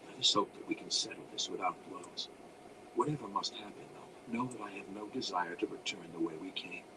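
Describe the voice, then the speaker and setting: A man voicing a calm, earnest dark elf warrior in an audiobook, speaking in measured, formal phrases. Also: low voice, background noise, lightly acted. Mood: quiet resolve. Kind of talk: audiobook character voice.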